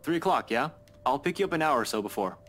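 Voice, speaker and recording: A man's voice: mid-range voice, animated, fast talker, music in the background, natural voice. An actor playing a guarded, blunt young man with a terse, dry delivery in a visual novel game. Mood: decided.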